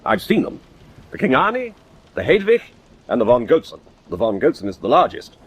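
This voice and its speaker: A man playing a confident, brisk big game hunter in a radio drama, formal and direct. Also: mid-range voice, wildly swooping pitch, husky. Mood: confident, persuasive.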